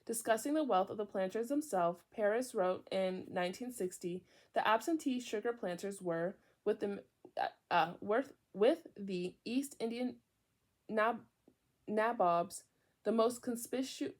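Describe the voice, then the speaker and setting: A young woman from Virginia reading a book aloud in a casual way, often drifting into chatty side remarks, with a high and often loud voice. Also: a little slow. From Virginia, USA. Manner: chatty, digressive, mixes reading with asides. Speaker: book reader. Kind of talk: casual read-aloud with tangents.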